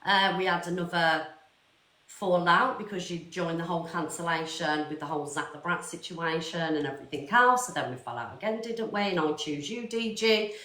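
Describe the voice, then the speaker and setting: A woman's voice: fairly low pitch, fairly quick, pushed and bright. A woman in a live online argument, speaking defensively and emphatically and repeating her points. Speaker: livestream debate participant. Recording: echoey room sound.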